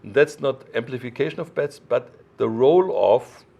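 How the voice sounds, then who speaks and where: Man giving an emphatic, halting technical explanation. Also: mid-range voice, animated, vocal fry, warm tone, highs cut off. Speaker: loudspeaker designer explaining his products.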